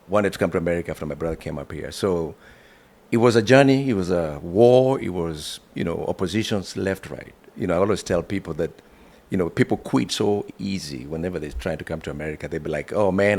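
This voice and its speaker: A middle-aged man from Kenya with a Kenyan accent, telling his life story as an interview guest, animated and full of "you know". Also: deep voice, fairly quick.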